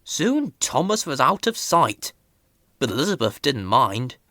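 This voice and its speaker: A woman's voice: extremely low pitch, sing-song, measured pace, bright, forceful tone, no room sound, clean studio sound. A woman reading a children's story as a scripted narration. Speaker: storybook reader.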